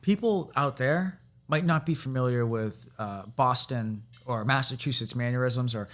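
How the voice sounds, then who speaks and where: A man's voice: medium pitch, normal speed, a bit bright. A man hosting a casual podcast, chiming in with short agreements and questions.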